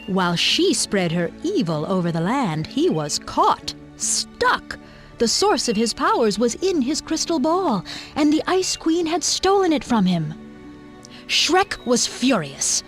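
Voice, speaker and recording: A woman's voice: on the high side, very animated, slow, faint music behind. A woman narrating a scripted fairy tale in a dramatic storytelling manner.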